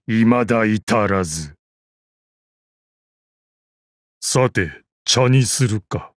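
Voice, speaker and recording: A man playing a calm, deadly old martial arts master and assassin in a video game, with a weathered, measured, wry delivery. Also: mid-range voice, no echo, studio quality. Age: older. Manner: measured, wry, explosive battle cries. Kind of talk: video game battle voice lines.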